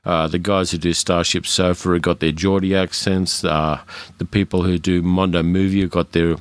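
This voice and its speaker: Deep-voiced Australian man hosting a podcast. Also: flat delivery, moderate pace, smooth, no echo, studio quality. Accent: educated Australian. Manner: frank, chatty, with fillers and restarts. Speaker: podcast host. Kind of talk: casual solo podcast monologue.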